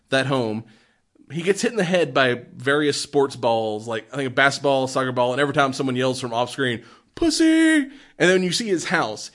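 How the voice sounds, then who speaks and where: A man's voice: mid-range voice, a bit bright. A man co-hosting a horror film podcast in casual chat, joking and often laughing as he talks. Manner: jokey, laughs often while talking. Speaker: podcast co-host reviewing horror films.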